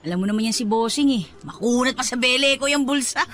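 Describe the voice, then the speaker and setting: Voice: medium pitch, fast talker, a bit bright, lightly acted. An actor with a Filipino accent playing a teasing young gas station worker, slangy and bantering in a radio drama.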